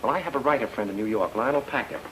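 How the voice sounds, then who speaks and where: An actor playing a glib, wisecracking private detective in an old-time radio drama. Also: male voice, mid-range voice, very fast, fairly bright tone, natural voice. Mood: flippant. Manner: glib, flippant. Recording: noisy old radio recording.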